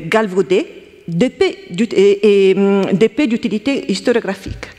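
A woman with an Italian accent giving halting, self-correcting academic remarks as a round-table panelist. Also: medium pitch, a little mellow. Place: lecture hall. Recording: long hall reverb.

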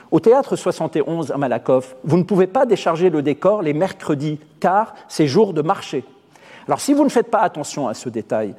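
A man whose first language is Arabic, a theatre director giving a measured, eloquent spoken essay. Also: high voice, darkish tone, husky. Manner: measured, eloquent, deliberate phrasing. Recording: long hall reverb.

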